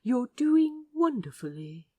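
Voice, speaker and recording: Gentle, bookish gentleman played by a woman for audio drama: effusive, proper, unhurried, very dry close recording. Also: sits high, big pitch swings, dry recording, full character voice. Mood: encouraging, delighted. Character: gentle, eager, flustered, bookish man, easily smitten. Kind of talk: fan fiction audio drama.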